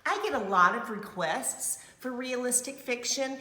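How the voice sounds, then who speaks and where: Slow, bright-voiced woman reading to children. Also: fairly high pitch. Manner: expressive, simple child-directed explanations and questions. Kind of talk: read-aloud storytime with explanatory asides.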